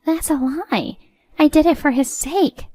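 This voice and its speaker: A woman's voice: high-pitched, measured pace, mellow, no echo, pitched above the performer's own voice. A woman voicing a haughty, meddling middle-aged mother-in-law in a story, agitated and indignant.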